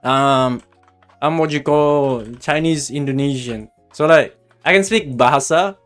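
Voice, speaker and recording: A man from Australia hosts a casual game livestream. His voice sits a little higher than average for a man, and he talks in a chatty way straight to his viewers. Faint music plays behind him. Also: a little slow. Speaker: livestream host and guide maker. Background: background music.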